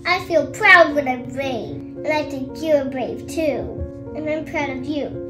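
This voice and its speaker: A woman's voice: high-pitched, takes it slowly, over music. A woman voicing a sassy, sweet little female cat in short, childlike, cheeky phrases for a comedy pet video. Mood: earnest, gentle. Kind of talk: scripted pet voice-over comedy.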